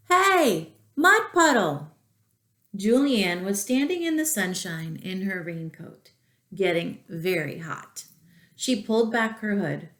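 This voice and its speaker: Slow-paced woman storyteller in an echoey room. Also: lowish voice. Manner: expressive, deliberate, with asides to listeners. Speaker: children's storyteller at a school. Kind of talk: storybook read-aloud to young children.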